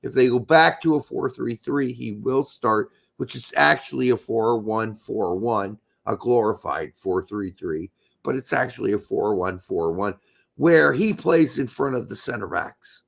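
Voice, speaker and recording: A man co-hosting a soccer podcast, emphatic and argumentative, hoarse from losing his voice. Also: medium pitch, a little slow. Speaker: podcast co-host. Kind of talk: casual soccer talk.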